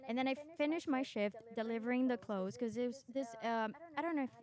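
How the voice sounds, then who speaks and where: High-pitched woman, from Chile, casual storytelling, animated, candid, self-mocking. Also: fairly quick. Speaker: podcast guest, doctor in training.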